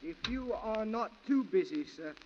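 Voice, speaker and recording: An actor playing a very polite, formal confidential clerk in a 1940s radio drama, with precise, deferential speech. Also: male voice, high-pitched, expressive, a little slow. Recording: muffled, noisy 1940s radio recording.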